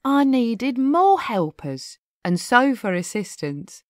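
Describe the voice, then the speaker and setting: Slow, lively woman reading a children's story. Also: fairly high pitch, no echo. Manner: expressive, deliberate rhythmic delivery. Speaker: storybook narrator. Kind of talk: animated children's read-aloud of a rhyming story. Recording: music behind the voice. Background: music.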